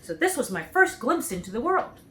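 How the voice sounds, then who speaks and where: A woman's voice: on the high side, sing-song, normal speed, a bit bright. A woman voicing a cheerful, naive scarecrow in a storybook, speaking earnestly and with emphasis. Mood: proud, curious.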